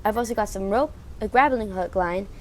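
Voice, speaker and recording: An actress playing a no-nonsense, sarcastic Time Lord agent with a dry, brisk manner in a science-fiction audio drama. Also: female voice, mid-range voice, background noise, natural voice. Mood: matter-of-fact. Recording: long reverb with music behind.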